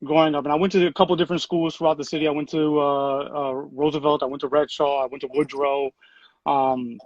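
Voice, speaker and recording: A man in his thirties talks easily about his background, often pausing on "um" and "uh". His voice sits a little higher than most men's. The sound is dry and somewhat dull. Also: dry recording. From Puerto Rico. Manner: relaxed, full of fillers and restarts. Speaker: city program director. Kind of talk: conversational interview answers.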